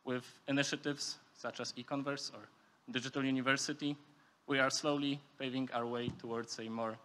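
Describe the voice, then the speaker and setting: A man's voice: mid-range voice, flat delivery, normal speed, bright, forceful tone, slight background noise. A young man from Poland delivers a prepared, formal and structured speech as a student speaker. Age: young adult. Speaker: student speaker at a youth forum.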